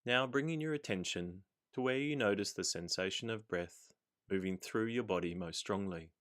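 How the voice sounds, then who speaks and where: A man with a lowish voice leads a guided meditation. He is calm and soothing, giving gentle instructions in a measured, deliberate way.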